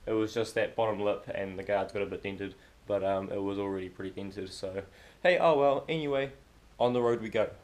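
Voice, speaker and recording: A young man, croaky with a cold, chatting upbeat to camera in his living room, filming at arm's length. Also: lowish voice, a little fast, hardly any pauses. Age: young adult. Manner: chatty, upbeat, filler-heavy. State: hoarse with a cold. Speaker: vlogger. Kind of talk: casual vlog chat to camera. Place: living room at home, indoors.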